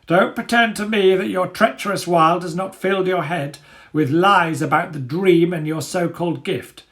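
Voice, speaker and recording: Man acting a menacing rebel dog, declamatory, accusing, raised pitch, storybook villain. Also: normal speed, a bit bright. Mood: scornful. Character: menacing male dog, rebel leader of wild animals.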